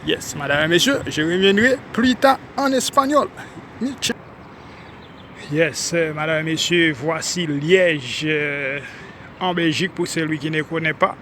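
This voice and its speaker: A man from Haiti with a Haitian French accent, talking casually to viewers while walking outdoors on a city street and addressing them as ladies and gentlemen. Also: a little high, a little slow, slight background noise. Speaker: vlogger. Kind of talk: casual walking vlog monologue.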